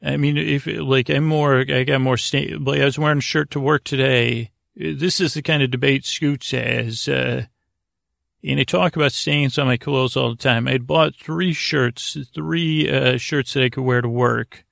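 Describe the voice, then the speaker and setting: A man hosting a podcast with a rambling bedtime monologue, halting and meandering, often stammering into false starts. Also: mid-range voice, fairly even intonation, a bit bright, no room sound, clean studio sound. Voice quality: gravelly, creaky. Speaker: podcast host.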